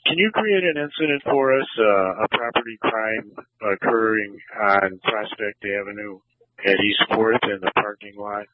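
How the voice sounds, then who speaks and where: A man's voice: fairly low pitch, normal speed. A man on police radio giving terse requests and instructions as an operator coordinating units. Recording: narrow, tinny police scanner feed.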